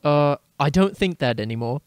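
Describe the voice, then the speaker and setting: A man's voice: on the high side, normal speed, no room sound, natural voice. A man playing a sardonic grown-up wizard who mutters dry retorts in a dramatic fan fiction reading. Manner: muttering, wry, animated.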